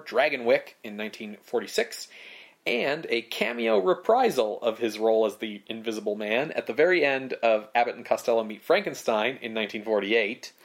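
A man co-hosting a casual film history podcast, reading prepared facts in a relaxed way. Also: medium pitch. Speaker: podcast co-host.

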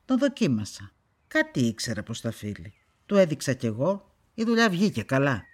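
A stern older woman who runs a farm, low-voiced for a woman. She answers in a curt, dismissive way, guarded and plain, in naturalistic radio-play style. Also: huge pitch swings, full character voice. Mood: guarded, matter-of-fact. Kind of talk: naturalistic radio drama acting.

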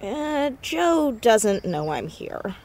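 A woman playing a determined, defiant teenage girl in a naturalistic audio drama, brisk and dryly sarcastic. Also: on the high side, sing-song, a little mellow, heavily acted.

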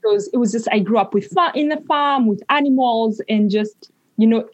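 A woman, a guest on a podcast, telling stories in a warm, reflective way and pausing to search for her words. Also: on the high side, no room sound, muffled recording. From Kenya. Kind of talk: conversational storytelling.

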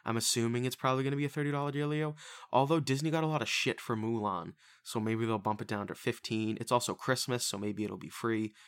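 Profane, rambling young podcast host. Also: male voice, medium pitch, fairly even intonation, quick pace, smooth. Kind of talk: casual podcast banter.

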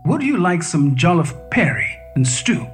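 A man voicing a calm male home assistant AI that speaks evenly and literally. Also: on the high side, faint music behind. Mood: placid. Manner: even, literal, unhurried.

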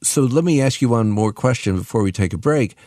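A man's voice: medium pitch, expressive, a little fast, mellow, no room sound, studio quality. A man co-hosting a radio interview, asking questions conversationally on behalf of the listeners. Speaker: radio show co-host.

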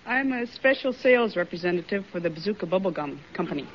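A woman's voice: fairly low pitch, expressive, fast. A young American woman from Minneapolis answering a host's questions as a quiz contestant on an old radio recording. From Minneapolis, USA. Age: young adult. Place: studio stage before an audience. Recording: muffled, slightly noisy old radio recording.

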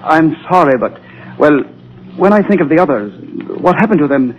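A man's voice: on the high side, expressive, normal speed, close to an everyday voice. A man playing a nervous expedition survivor who has lost his nerve, hesitant and apologetic, in a 1930s radio drama. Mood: shaken, reluctant. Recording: dull, lo-fi 1930s radio recording.

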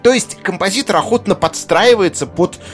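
A man's voice: high voice, moderate pace, faint music behind. A man presenting an explanatory video essay in an erudite, articulate way, with conversational asides.

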